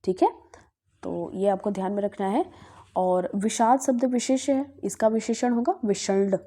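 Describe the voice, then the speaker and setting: A woman's voice: medium pitch, normal speed, warm tone. A woman from Bihar teaching Hindi grammar, working through past exam questions and answering her own questions as she explains. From Bihar, India. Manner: asks herself questions and answers them, often says "ठीक है".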